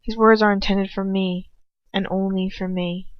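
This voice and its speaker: A woman reading a story aloud in the first person with expressive, emotive delivery. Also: mid-range voice, normal speed, no echo. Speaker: amateur audiobook reader. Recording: dull, lo-fi close recording.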